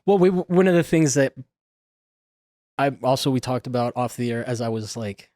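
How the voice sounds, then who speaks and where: A man's voice: medium pitch, animated, moderate pace, darkish tone, no room sound, studio quality. A man whose first language is Spanish, hosting a casual interview and thinking aloud with many restarts and self-corrections. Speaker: podcast host.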